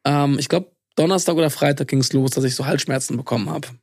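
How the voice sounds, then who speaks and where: A hoarse man co-hosting a casual podcast, chatty and joking as he tells a story. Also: medium pitch, fast, dry recording, studio quality. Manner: chatty, jokey, animated storytelling. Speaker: podcast co-host.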